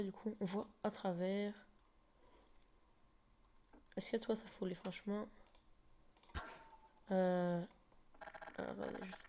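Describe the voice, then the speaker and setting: A woman with a slight cold walks viewers through a game at her computer, her voice mellow and dark. She hesitates a lot, filling pauses and restarting sentences as she goes. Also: mid-range voice. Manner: hesitant, full of fillers and restarts. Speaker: game tutorial maker. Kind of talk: casual screen-recorded game tutorial.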